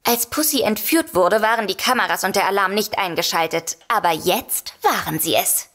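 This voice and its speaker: An actress playing a brisk, composed woman in a comedic audio drama. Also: medium pitch, animated, fairly bright tone. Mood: brisk, intent. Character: brisk, composed woman who dotes on her cat.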